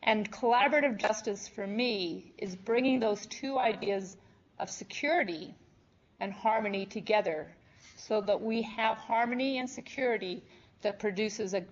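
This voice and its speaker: Woman, conference presenter, measured and earnest, slightly dull audio. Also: medium pitch. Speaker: conference panelist.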